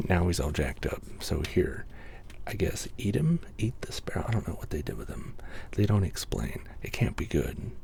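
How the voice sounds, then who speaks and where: A man reading bedtime stories in soft-spoken ASMR style, slow and breathy, with wry casual asides. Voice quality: deep, soft, breathy near-whisper. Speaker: ASMR reader.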